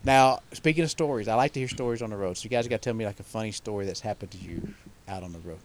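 A man's voice: on the low side, racing, a little hiss or hum. A man hosting a podcast in casual chat. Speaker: podcast host.

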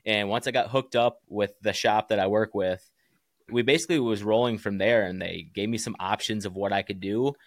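Male interview guest, American, fairly low voice, earnest and plain-spoken, recorded dry. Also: steady tone, brisk, dry recording. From Chicago area, United States. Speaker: podcast guest, small business founder. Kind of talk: casual interview chat.

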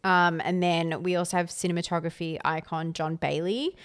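A woman's voice: on the low side, level tone, normal speed, clean tone. A woman co-hosting a podcast in casual talk, chatty and full of "like" and "um". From Filipino heritage. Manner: chatty, fills with "like" and "um". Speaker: podcast co-host. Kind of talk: casual chat.

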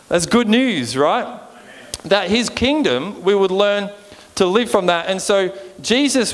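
High-voiced Australian man preaching in a reverberant hall. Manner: conversational, seeks agreement with frequent rhetorical check-ins. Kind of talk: informal sermon.

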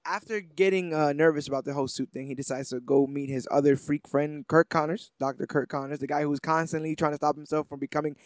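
A man's voice: a little high, fairly even intonation, fast talker, few pauses, no room sound, studio quality. A man co-hosting a podcast, casually retelling things in a conversational way with loose paraphrases. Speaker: podcast co-host. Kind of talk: casual chat, cartoon recap.

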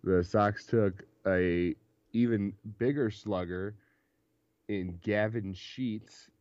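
A man's voice: on the low side, steady tone, takes it slowly, a little mellow, no echo. A young man co-hosting a casual sports podcast, chatting and laughing often between points. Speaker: podcast co-host. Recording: dull, dry lo-fi recording.

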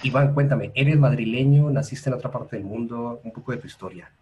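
A man's voice: mid-range voice, a little fast, slightly rough, lo-fi sound. A man hosting an interview podcast, courteous and formal as he welcomes and questions his guest. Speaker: podcast host. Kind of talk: interview host.

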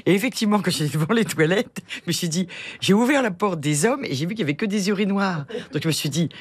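Husky, animated woman on a radio panel. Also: on the low side, fast, no echo. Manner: animated, enthusiastic storyteller. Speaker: panel guest. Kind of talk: chatty panel storytelling. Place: radio studio.

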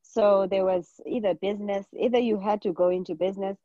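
A woman's voice: mid-range voice, steady tone, brisk, dry recording. A woman in her forties with a Zimbabwean accent, chatting casually as a guest in an interview, on a slightly muffled recording. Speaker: podcast guest, nurse practitioner.

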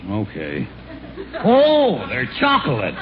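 A man playing a brazen, childish grown man in an old radio comedy, blunt and deadpan. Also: mid-range voice, takes it slowly, a crowd in the background. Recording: tinny, very noisy old radio broadcast.

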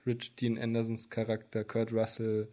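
A man's voice: lowish voice, monotone, normal speed, mellow. A man co-hosting a podcast, rambling and joking in casual banter, with a mild Berlin accent. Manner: rambling, joking, prone to tangents. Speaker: podcast co-host. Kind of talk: casual banter between friends.

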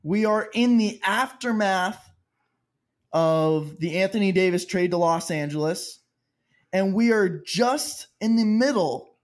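A man hosting a sports podcast, playful and teasing, who rattles off sponsor plugs quickly. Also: high-pitched. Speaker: podcast host. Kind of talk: casual sports podcast chat.

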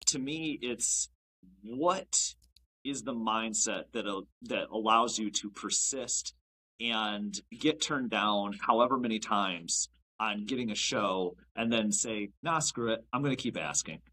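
Bright-voiced man hosting an interview. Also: on the low side, measured pace, breathy edge, rough recording. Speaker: podcast host. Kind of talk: casual interview questions.

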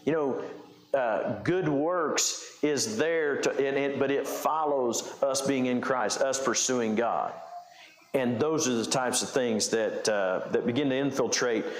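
A man's voice: mid-range voice, unhurried, slight background noise. A male pastor preaching a conversational sermon, explaining scripture with frequent restarts and fillers. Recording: echoey room.

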